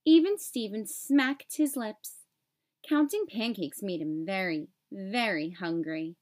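A woman reading a children's picture book aloud as an expressive storyteller, phrasing clearly for young children. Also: medium pitch, slow pace.

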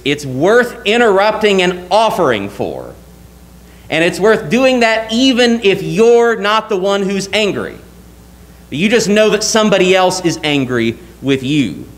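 A young man preaching a sermon from a church pulpit, earnest and emphatic, often asking rhetorical questions. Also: on the high side. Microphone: lectern mic, wide camera.

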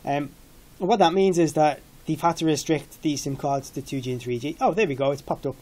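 Higher-voiced man, mellow, explaining tech. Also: a little fast. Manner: explanatory, matter-of-fact. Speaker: product demonstrator. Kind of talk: informal screen-share tech walkthrough.

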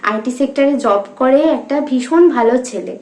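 Young female prank caller, West Bengal Bengali accent, high voice, politely persistent and persuasive, roomy echo. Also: normal speed, a little mellow. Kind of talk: staged phone prank conversation.

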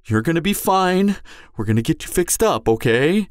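A man's voice: fairly high pitch, big pitch swings, normal speed, no room sound, clean studio sound. A man playing a devoted hunter boyfriend in an emotional audio roleplay, urgent and stammering, repeating himself as he pleads with his wounded lover. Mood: tender, firm.

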